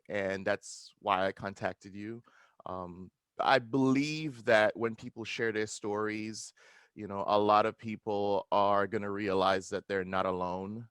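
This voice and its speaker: Male host, low-pitched, relaxed conversational interviewer, dry close mic. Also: clean tone, dry recording. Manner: relaxed, chatty, with fillers.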